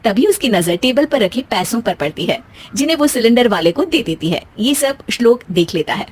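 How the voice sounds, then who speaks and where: A woman narrates a scripted family story as the storyteller. Her voice is high, with lively, wide-ranging intonation that carries the tale along. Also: brisk, slightly rough.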